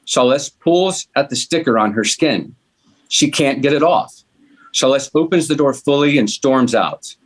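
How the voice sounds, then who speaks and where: Man reading stage directions, medium pitch. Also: lively intonation, measured pace. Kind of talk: scripted narration at table read.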